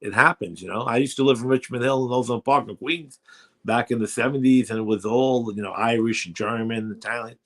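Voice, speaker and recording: A man's voice: medium pitch, fairly quick, a bit bright. A man in his sixties with a New York accent, hosting a radio chat show in a rambling, chatty way. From Brooklyn, New York. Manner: rambling, chatty, many fillers. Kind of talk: casual chat.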